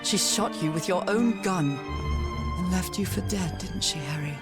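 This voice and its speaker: An actress plays a 1930s woman explorer in a story game, searching for her missing husband. Her voice sits low, expressive and full of questioning as she muses aloud. Loud dramatic music plays behind her. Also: a little slow, lightly acted. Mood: grave deduction. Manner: expressive, musing, questioning herself. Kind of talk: dramatic adventure game acting, musing aloud.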